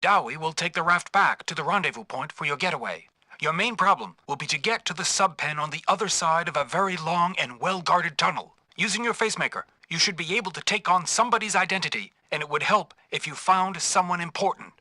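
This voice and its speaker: A man's voice: fairly high pitch, lively intonation, fairly quick, bright, forceful tone, dry recording, full character voice. A man playing a businesslike spy team leader, giving instructions in a scripted video game briefing. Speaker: mission planner.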